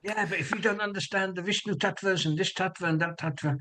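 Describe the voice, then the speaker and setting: A man's voice: high-pitched, fast, sharp tone, a little hiss or hum. A man chatting casually in a wry, anecdotal manner. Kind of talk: casual chat.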